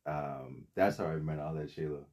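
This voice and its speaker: A man's voice: rumbling low, moderate pace, a little mellow. A young man from the Bahamas, a podcast guest telling his story in a rambling, casual way with many fillers and restarts.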